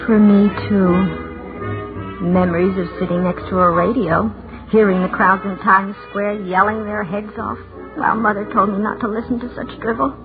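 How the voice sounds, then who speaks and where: A woman's voice: medium pitch, steady tone, normal speed, natural voice. Lonely, fretful woman's inner monologue played by an actress, torn and self-questioning, vintage radio drama, thin sound under prominent music. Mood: bitter, ashamed. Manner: agitated self-questioning, arguing with herself. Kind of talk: 1940s radio drama acting. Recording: tinny band-limited vintage radio recording, loud music.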